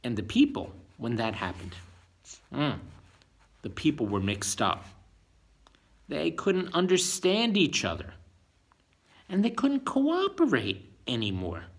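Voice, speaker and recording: A man telling a children's bedtime story in an expressive way, with chatty teacherly asides and questions to young listeners. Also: medium pitch, vocal fry.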